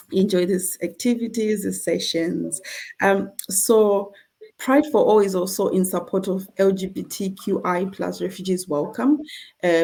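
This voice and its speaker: A middle-aged woman from Zimbabwe leads an online conversation for a charity. Her voice is low and mellow with a gravelly edge. She speaks earnestly, with many fillers and restarts. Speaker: charity representative and host.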